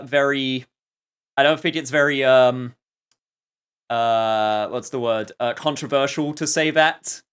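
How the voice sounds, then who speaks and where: A male game streamer talks casually over live play in a medium-pitched voice. He rambles along and often searches for the right word. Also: fairly bright tone, smooth. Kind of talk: casual live gameplay commentary.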